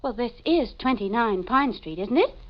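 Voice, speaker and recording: A woman's voice: a little high, lively intonation, moderate pace, natural voice. An actress playing a distraught, insistent wife who has lost her identity, in melodramatic 1940s radio mystery style. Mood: insistent, agitated. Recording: muffled old 1940s radio recording, organ music.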